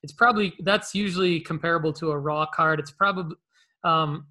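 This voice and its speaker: Bright, higher-pitched male host, halting delivery. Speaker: show host. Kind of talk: casual, conversational collectibles talk.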